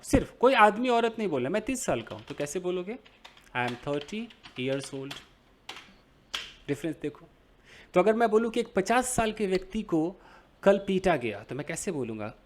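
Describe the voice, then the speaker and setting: A young man with an Indian accent teaches beginners English from a newspaper. His voice swings freely up and down in pitch, and he draws out English phrases slowly before explaining them. He keeps checking in with his viewers through questions. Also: a little hiss or hum.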